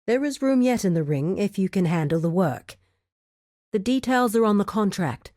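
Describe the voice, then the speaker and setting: A woman's voice: medium pitch, animated, normal speed, warm tone, dry recording, studio quality, natural voice. A woman voicing a composed, formal administrator of a criminal guild in a video game, speaking precisely and with cool courtesy. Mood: formal, measured. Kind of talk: scripted video game character dialogue.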